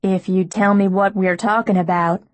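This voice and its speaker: A woman reading an audiobook, voicing a composed adult man who speaks in a soft, measured, pleasant tone. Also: medium pitch, natural voice. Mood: calm, soothing. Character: composed wealthy adult man, calm and controlled. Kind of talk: audiobook character voice.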